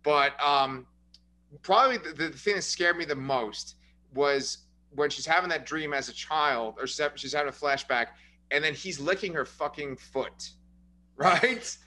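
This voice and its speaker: A man co-hosting a relaxed film review chat, his voice bright and on the high side. He swears freely and laughs through his stories, animated as he recounts the scariest moments. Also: unhurried. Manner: profane, laughing, animated storyteller. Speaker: podcast co-host. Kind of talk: casual movie review chat.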